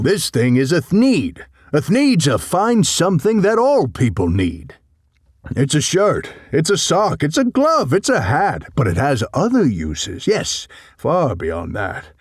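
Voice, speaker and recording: A man's voice: fairly high pitch, husky, dry recording, clean studio sound, exaggerated voice. A man playing an old, grumpy, secretive recluse who tells his tale dramatically in a storybook read-along. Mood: defensive, boastful.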